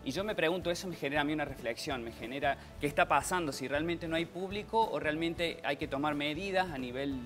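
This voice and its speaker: A man from Córdoba with a strong local Argentine accent talks with passion as a guest on a TV studio couch. His voice sits a little high for a man. A music bed runs clearly beneath the lapel-miked interview. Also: fast, few pauses. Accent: Cordobés Argentine Spanish. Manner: passionate, animated with hand gestures. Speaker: actor and guest.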